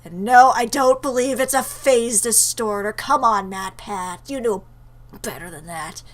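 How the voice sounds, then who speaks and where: A woman's voice: a little high, a little slow. A woman giving casual, chatty game commentary, playfully talking back to the game. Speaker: let's player. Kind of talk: casual game commentary mixed with reading dialogue aloud.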